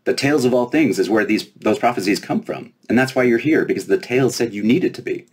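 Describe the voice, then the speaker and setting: A man's voice: mid-range voice, lively intonation, fast talker, a little mellow, slightly rough, pitched above the performer's own voice. A man reading a children's storybook, voicing a small, proud, teasing fairy princess who is breezy and self-important. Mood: breezy, smug. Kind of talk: children's storybook read aloud.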